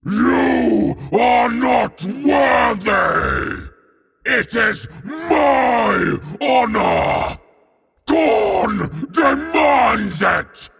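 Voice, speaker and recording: A man voicing a huge, enraged demonic warlord in a fantasy war story, bellowing drawn-out, gravelly, imperious shouts. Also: extremely high pitch, lively intonation, voice pushed up, full character voice. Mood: imperious rage. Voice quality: gravelly, roaring.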